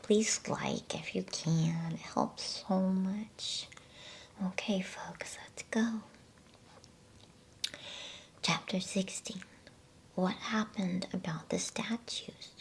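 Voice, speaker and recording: A woman whispering breathily and gently in a hushed ASMR style, as a host reading a bedtime storybook. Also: medium pitch, steady tone. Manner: gentle, slow, hushed delivery.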